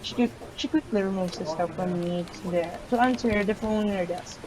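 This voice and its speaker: A woman gamer reading a visual novel's text aloud with casual asides, in a halting way that often repeats words. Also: medium pitch, low-quality recording. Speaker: gameplay video maker. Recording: loud music behind the voice. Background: game soundtrack with piano.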